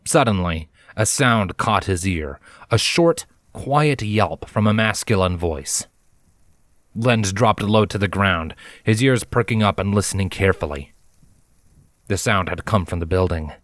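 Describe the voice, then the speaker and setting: A man with a low voice narrates fiction from a script. He reads as a storyteller, and the recording is dry, with very little room sound. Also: lively intonation, measured pace, fairly bright tone, dry recording.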